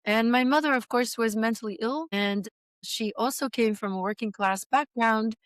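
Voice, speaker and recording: Smooth-voiced woman in her sixties, from Belgium. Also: a little high, normal speed, no echo, studio quality. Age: 60s. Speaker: guest, author and survivor. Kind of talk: conversational interview.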